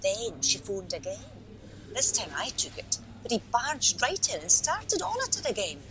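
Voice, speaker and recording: A woman's voice: mid-range voice, very animated, moderate pace, a bit bright, a little hiss or hum, rough recording. A woman playing a polite, hesitant and rather nosy helpline volunteer in a radio play, with an apologetic manner. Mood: gossipy. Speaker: helpline phone operator.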